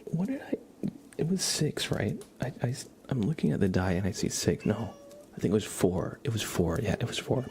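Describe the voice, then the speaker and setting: Casting: male livestream ASMR host, lowish creaky voice, chatty and gentle in volume, sometimes whispered, slightly noisy recording. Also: big pitch swings, moderate pace. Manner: chatty, rambling, soft-spoken with whispered stretches. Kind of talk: casual live ASMR chat with tarot card readings. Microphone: headset. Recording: clear background noise.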